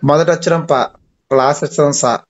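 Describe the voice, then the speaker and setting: A man's voice: on the high side, fairly even intonation, normal speed, a little hiss or hum. Playful man on a muffled call. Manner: playful, teasing, evasive. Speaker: prank caller. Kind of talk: teasing casual phone chat. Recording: muffled lo-fi phone call recording.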